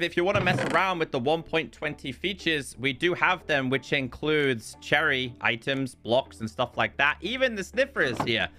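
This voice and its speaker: A man's voice: mid-range voice, bright, forceful tone. A man hosting a gaming video, giving casual update commentary and explaining things step by step. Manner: explanatory, walking through menus step by step. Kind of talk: casual scripted-sounding game update commentary. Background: background music.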